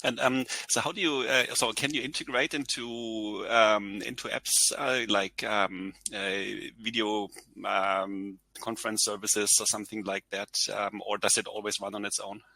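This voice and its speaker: A man with a German accent moderating a conference Q&A, reading out audience questions in a halting way. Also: on the low side, fairly even intonation, sharp tone, no echo, low-quality recording. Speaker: session moderator.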